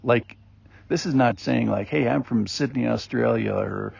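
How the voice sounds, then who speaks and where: A man giving an informal daily Bible reflection as a podcast host, rambling and conversational with many ums and restarts, in a gravelly, husky voice. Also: medium pitch, unhurried, a little mellow, no echo. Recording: dull lo-fi recording.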